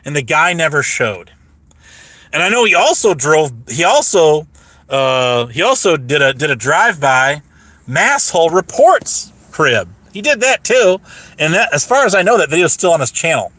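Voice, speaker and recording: A hoarse man rambling casually on a livestream, boastful, repeating himself and laughing mid-sentence. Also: a little high, sing-song, moderate pace, bright, forceful tone, dry recording.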